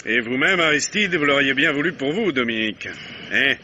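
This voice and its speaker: A shrewd, probing police inspector. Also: male voice, medium pitch, animated, fairly quick, bright, forceful tone, slight background noise. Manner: probing, dryly ironic questioning. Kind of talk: 1950s radio drama acting.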